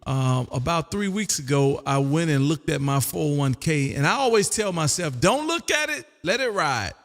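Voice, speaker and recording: A man's voice: a little high, sing-song, moderate pace, a bit bright. An older man of about sixty hosting an amateur radio stream, rambling casually and folksily, often tagging sentences with "man". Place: home radio room. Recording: long echoing room reverb.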